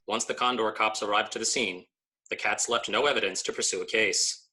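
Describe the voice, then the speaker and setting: Radio newsreader bird, played by a man: low, very forceful and bright, formal delivery. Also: fairly even intonation, fairly quick. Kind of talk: formal news reading in a radio comedy.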